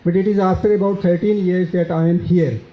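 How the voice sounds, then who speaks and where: A man with a Pakistani accent answers audience questions at a conference, speaking haltingly with many fillers and repeated words. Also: sits high, steady tone. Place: conference hall. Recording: dull, echoey conference hall.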